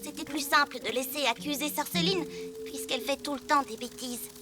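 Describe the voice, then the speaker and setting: A woman voicing an impulsive, earnest six-year-old girl superhero, expressive and exclamatory, in a French cartoon dub. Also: high-pitched, a little fast, close to an everyday voice. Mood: sheepish. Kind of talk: French animated series dubbing. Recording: music bed under the dialogue.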